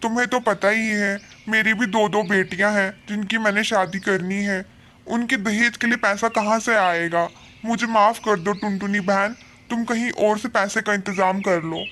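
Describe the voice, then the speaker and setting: A man's voice: high voice, fairly even intonation, normal speed, pushed and bright, slight background noise. A man playing a polite, chatty male bird neighbour in a cartoon story, with a deferential, explanatory way of talking. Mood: apologetic. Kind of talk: Hindi cartoon story dialogue.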